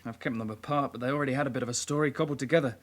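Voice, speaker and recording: A man's voice: mid-range voice, steady tone, rapid-fire, lightly acted. Plain-spoken young detective side-kick, acted by a man. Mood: matter-of-fact. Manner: matter-of-fact, clipped reporting. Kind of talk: radio drama acting.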